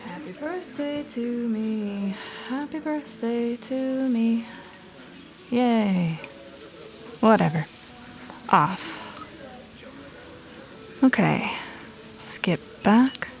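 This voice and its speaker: An actress playing a guarded, sardonic detective in her thirties, dry and deadpan in naturalistic audio drama dialogue. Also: a little high, drawn-out words, long pauses, full character voice. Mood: weary, wry.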